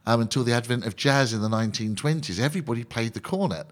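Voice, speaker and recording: A man's voice: mid-range voice, fast. A man, a band conductor, explaining as a radio guest in an enthusiastic, joking, digressive way.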